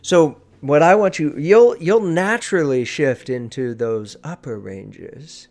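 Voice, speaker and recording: A man's voice: a little high, slow pace, a little mellow. A man giving an informal instructional talk as a presentation coach, stressing his points emphatically and repeating key phrases.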